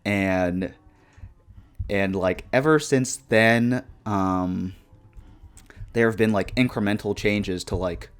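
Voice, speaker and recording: A man in his twenties talking casually and reflectively over gameplay, in a deadpan monotone with lots of "like" and "um". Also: fairly low pitch, slow, pauses often, clear, resonant tone. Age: 20s. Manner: deadpan monotone with many fillers. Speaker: YouTuber.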